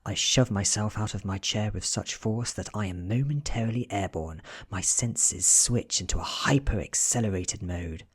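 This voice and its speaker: A British man reading a story as soft-spoken ASMR narration, hushed and often dropping into a whisper. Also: on the low side, normal speed, hardly any pauses, slightly rough. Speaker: audiobook reader.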